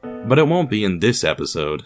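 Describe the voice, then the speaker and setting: A man with a low voice narrates a fiction podcast as a scripted story. His delivery is formal, wry and ominous, with faint guitar music playing behind him. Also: sing-song, moderate pace.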